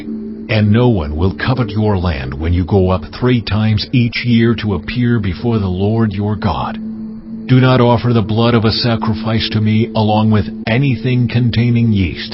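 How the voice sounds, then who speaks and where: Male actor as solemn deity for scripture audio drama: low voice, stern, measured, authoritative, music underneath. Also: highs cut off, natural voice. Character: God, solemn commanding male deity laying down law.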